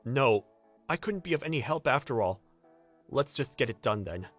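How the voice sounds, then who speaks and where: The decent young colleague of a cartoon office drama, forceful and swinging in pitch as he pleads his case , acted by a man. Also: lively intonation, normal speed, faint music behind. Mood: earnest, apologetic. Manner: earnest, emphatic. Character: earnest, kind young male office worker standing up for colleague. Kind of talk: anime-style dub acting.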